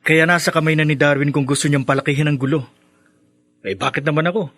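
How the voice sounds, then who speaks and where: Put-upon young boyfriend, lightish voice. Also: male voice, on the high side, steady tone, fast talker, fairly bright tone, no echo. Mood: mock aggrieved. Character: easygoing young man, put-upon boyfriend pleading innocence. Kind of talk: radio drama acting.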